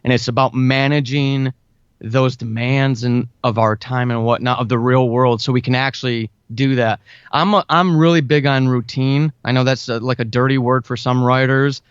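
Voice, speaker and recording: A man chats enthusiastically and earnestly as a guest writer in an interview. His pitch is average, and the sound is dry and somewhat dull, with a limited lo-fi band. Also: steady tone, moderate pace, smooth, dry recording. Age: 40s. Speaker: guest, fiction and audio drama writer. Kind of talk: casual conversational interview.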